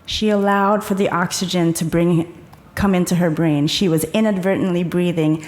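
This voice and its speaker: A woman giving a conference talk, calmly guiding the audience through a breathing exercise and explaining the ideas behind it. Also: lowish voice, normal speed. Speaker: conference presenter. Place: conference hall. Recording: echoey conference hall.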